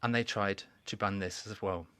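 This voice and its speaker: A man giving a formal welcoming speech at a conference, measured and deliberate, with pauses and restarts. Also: on the low side, fairly even intonation, sharp tone, dry recording. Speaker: conference speaker. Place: conference hall.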